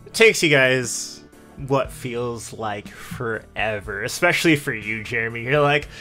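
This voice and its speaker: A man's voice: mid-range voice, measured pace, pushed and bright, soft background music. A man narrating a tabletop roleplaying game as game master in a casual, conversational way.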